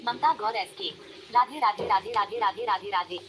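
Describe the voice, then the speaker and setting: A synthetic female voice reads out viewers' usernames and their comments one after another, in a flat, even manner. A faint hiss runs underneath it. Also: medium pitch, moderate pace. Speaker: text-to-speech comment reader.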